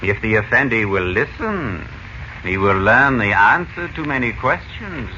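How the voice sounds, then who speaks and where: An actor playing a sly, servile yet menacing desert messenger in a 1940s-style radio serial, speaking formally and ingratiatingly. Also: male voice, medium pitch, expressive, measured pace, sharp tone. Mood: ominous, formal. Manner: formal, ingratiating, veiled threats. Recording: dull lo-fi old radio, faint noise.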